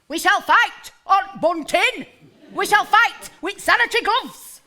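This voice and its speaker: An actress playing a sharp-tongued, mocking woman in a stage musical comedy. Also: extremely high pitch, lively intonation, unhurried, heavily acted. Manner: teasing, sarcastic.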